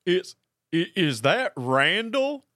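A man's voice: high-pitched, very animated, slow, sharp tone, dry recording, studio quality, full character voice. A man acting an earnest, awed detective's sidekick in an audio drama.

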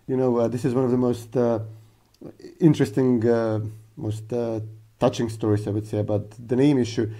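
A man with a dark, mellow voice speaks in casual podcast talk. He halts often and fills his pauses with uh and um. He tells his stories in an earnest, searching way. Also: medium pitch, flat delivery. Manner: halting, full of uh fillers; earnest storyteller. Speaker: podcast co-host.

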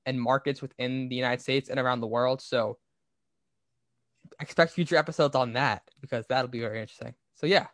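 A man's voice: medium pitch, steady tone, brisk, fairly bright tone, no room sound, studio quality. A man hosting a podcast, giving opinionated, explanatory business commentary in a conversational way. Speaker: podcast host.

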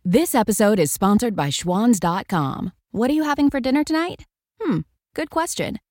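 A woman's voice: on the high side, very animated, normal speed, a little mellow, dry recording, clean studio sound, soft background music. A woman announcer gives a scripted, conversational advertisement read.

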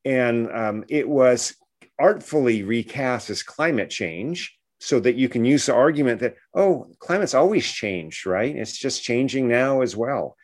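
A man speaking as an expert guest in a radio interview, explaining things earnestly and often checking in with a short questioning tag. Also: medium pitch.

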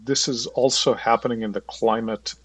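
A man's voice: medium pitch, steady tone, moderate pace, no echo, distant mic. A man hosting a podcast interview, commenting conversationally on what his guest has said. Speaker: interview host.

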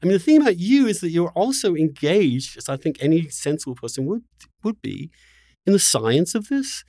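A man hosting a casual, spirited interview, halting at first and then emphatic, arguing through rhetorical questions. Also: a little high, sing-song, mellow, no room sound, studio quality. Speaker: interview host.

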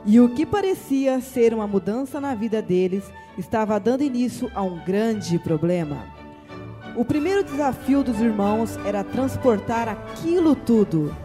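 Measured radio-drama narrator, storytelling, mid pitch, music bed. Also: animated.

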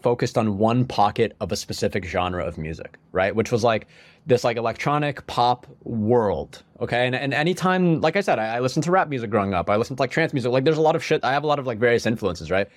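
A young man talks fast on a close, dry mic, sounding a little sniffly as he gets over a cold. He rambles casually, piling on "like" and restarting his sentences. His pitch sits in the middle. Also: expressive, no room sound. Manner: rambling, heavy use of "like", frequent restarts. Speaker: musician guest. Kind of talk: casual podcast conversation.

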